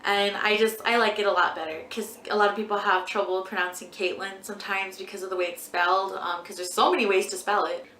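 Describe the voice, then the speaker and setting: A woman's voice: medium pitch, little pitch movement, quick pace, hardly any pauses, fairly bright tone, slight background noise. A twenty-year-old woman answering viewer questions as a beauty vlogger in a casual, rambling way, full of ums and likes. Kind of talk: casual Q&A chat. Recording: echoey room.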